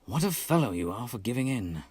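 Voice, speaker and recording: A man voicing a cheery, brisk male rat in a storybook, hearty and encouraging, with a put-on British accent. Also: mid-range voice, very animated, darkish tone, husky, no echo. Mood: reproachful, rallying. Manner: hearty, exclaiming, bossily encouraging.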